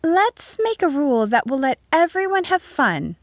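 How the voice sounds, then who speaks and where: A kindly but brisk country schoolteacher from a storybook, played by a woman narrator. She gives lilting instructions to children in a raised, high voice, recorded very dry. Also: sing-song, dry recording. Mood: encouraging. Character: kindly, brisk young female country schoolteacher addressing pupils.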